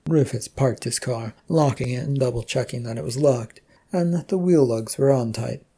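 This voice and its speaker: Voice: medium pitch, moderate pace, dark tone, slightly rough. A narrator reading scripted fiction as a storyteller.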